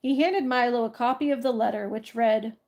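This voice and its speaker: Woman, fairly high voice, stuffed-up nose from a cold, bedtime story reader, giggly asides. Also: fairly quick, a little mellow, slight background noise. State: congested from a cold. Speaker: storytime host reading aloud.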